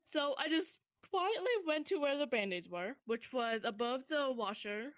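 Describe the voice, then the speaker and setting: A young woman casually telling a personal story to a friend in a rambling, animated way, swearing freely. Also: high-pitched, normal speed, no room sound.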